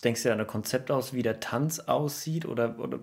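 A man's voice: medium pitch, brisk. A man hosting a podcast, asking questions in a jokey, easygoing interview chat. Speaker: podcast host.

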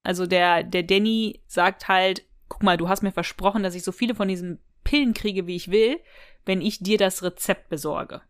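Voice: medium pitch, steady tone, moderate pace. A podcast co-host speaks in casual, everyday language. The delivery is tentative and conversational, thinking aloud while retelling an audio drama and quoting its characters.

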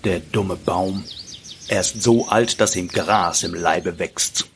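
A man's voice: deep voice, takes it slowly, fairly bright tone, husky, full character voice. A man voicing a vain, haughty buckwheat plant in a fairy-tale audio play, boastful and scornful. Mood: scornful, defiant.

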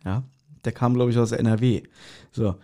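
A man's voice: medium pitch, steady tone, normal speed, dark tone. A man chatting casually as a co-host on a podcast.

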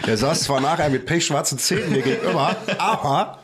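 A man's voice: fairly high pitch, fairly quick, background noise. A man hosting a podcast, a chatty storyteller who jokes and laughs often. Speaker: podcast host. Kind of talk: casual chat.

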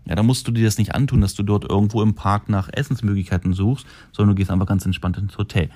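A man hosting his own podcast, chatting casually and loosely, often correcting himself and filling pauses. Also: fairly low pitch, fairly even intonation, dark tone. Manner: rambling, self-correcting, frequent fillers. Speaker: podcast host. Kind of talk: casual solo podcast talk. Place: holiday rental in Florida.

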